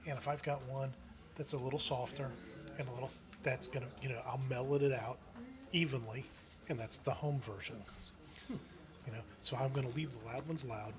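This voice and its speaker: A man's voice: mid-range voice, steady tone, moderate pace. A man in casual, rambling conversation as a member at a club meeting. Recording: live meeting room, chattering crowd. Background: clear crowd chatter.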